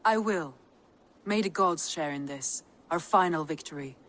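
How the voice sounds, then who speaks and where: An actress playing a serious, assured Viking war leader in a video game, with a formal, matter-of-fact manner. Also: female voice, low-pitched, unhurried, fairly bright tone. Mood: serious, confident. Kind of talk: video game dialogue acting.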